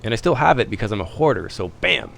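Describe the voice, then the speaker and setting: A man's voice: medium pitch, big pitch swings, fast talker, gravelly, fairly bright tone. A man narrating a vlog as a pilot, chatty, informal and self-deprecating. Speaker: private pilot and video host.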